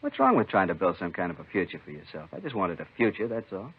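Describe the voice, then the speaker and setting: A man's voice: low-pitched, big pitch swings, fast talker, husky, heavily acted. An actor playing a weary, bitter American prop man confessing to robbery in a 1950s radio crime drama, resigned and self-justifying in short sentences. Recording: muffled old 1950s radio recording.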